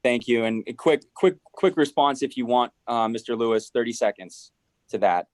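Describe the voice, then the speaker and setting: A man moderating a panel discussion in a polite, hurried way. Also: mid-range voice, dry recording.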